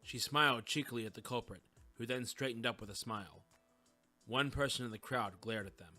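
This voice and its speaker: A man narrates a scripted story in a medium-pitched voice. Music sits behind the narration. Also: brisk, sharp tone.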